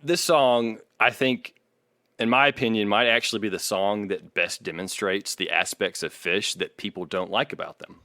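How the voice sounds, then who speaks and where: A man's voice: on the low side, steady tone, moderate pace, sharp tone, dry recording, clean studio sound. A man hosting a podcast, discussing music casually and bluntly, laying out his opinions point by point. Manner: blunt, makes points as a numbered list. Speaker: podcast host.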